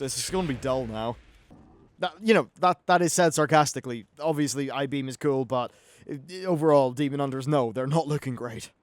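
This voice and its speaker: Chatty higher-voiced man commentating on games. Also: slight background noise. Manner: chatty, wry, sweary. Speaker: video host, gaming commentator. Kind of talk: casual off-the-cuff gameplay commentary.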